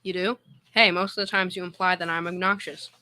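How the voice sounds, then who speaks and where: A woman's voice: fairly low pitch, steady tone, a little fast, bright, forceful tone. A female performer playing a cocky, boastful tough-guy boyfriend, slangy and swaggering, in old radio comedy style. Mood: breezy. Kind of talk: 1940s radio comedy acting.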